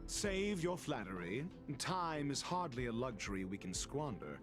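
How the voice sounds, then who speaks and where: A haughty, theatrical game scholar who looks down on everyone. His mid-pitched voice rises and dips with florid, sardonic relish, and faint music plays behind him. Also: very animated, measured pace. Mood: dismissive, impatient. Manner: pompous, drawling, florid wording. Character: arrogant, theatrical male scholar, condescending and sardonic. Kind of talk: video game voice acting.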